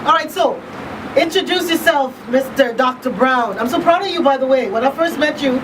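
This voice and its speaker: A woman's voice: high voice, moderate pace. A woman hosting a live call-in talk show in a casual, chatty, enthusiastic way. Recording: noisy, slightly distant mic.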